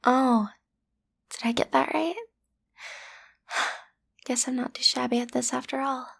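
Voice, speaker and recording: Woman acting breathy lovestruck teen villainess. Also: high voice, little pitch movement, a little slow, a bit bright, heavily acted. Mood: awkwardly menacing. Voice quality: breathy, often dropping to a whisper. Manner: hesitant, flustered, playfully menacing. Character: lovestruck teenage schoolgirl, villain's daughter, awkward amateur kidnapper. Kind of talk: audio roleplay acting, intimate direct address to listener.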